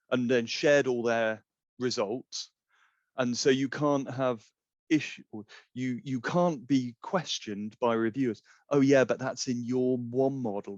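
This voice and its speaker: A man's voice: mid-range voice, no echo. A middle-aged man presenting an informal webinar in a conversational, explanatory way over a video call. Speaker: climate scientist presenting a webinar. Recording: dull, lo-fi webinar audio.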